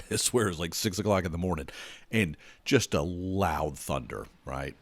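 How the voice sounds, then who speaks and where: A man's voice: deep voice, animated, unhurried, dry recording. A man hosting a podcast, talking casually as he gets over a cold. He rambles and stumbles over his words, often repeating himself. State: recovering from a cold. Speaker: podcast host. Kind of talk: casual solo podcast talk.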